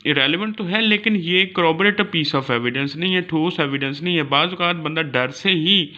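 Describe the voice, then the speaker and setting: A man's voice: on the high side, bright, forceful tone. A man with a Pakistani accent giving an explanatory law lecture in a didactic manner, mixing in English terms. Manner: didactic, reads citations slowly then explains. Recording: dull lo-fi recording.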